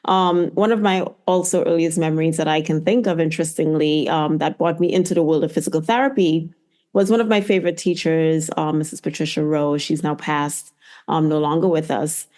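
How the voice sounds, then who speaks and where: A woman's voice: deep voice, steady tone, brisk, a little mellow. A middle-aged woman from Trinidad and Tobago, speaking reflectively as a guest in an interview. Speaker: podcast guest, physical therapist.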